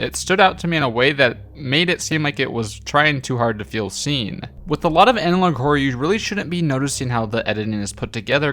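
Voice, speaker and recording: A man's voice: mid-range voice, a bit bright. A man delivering a scripted video essay as a reviewer, in a critical, opinionated way. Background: background music.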